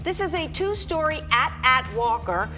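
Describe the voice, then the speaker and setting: A woman anchors a television newscast with a slightly high voice. She shifts between presenting and casual chat in the studio, with music clearly audible behind her. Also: expressive, moderate pace. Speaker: TV news anchor.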